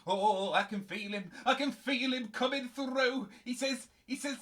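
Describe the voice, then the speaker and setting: A man's voice: very high-pitched, unhurried, fairly bright tone. A man playing a blustering, easily flustered fake spirit medium with a grandiose showman's delivery in a comic audio drama. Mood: theatrically ecstatic. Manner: grandiose showman's delivery, stammering when caught out. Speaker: medium leading a seance.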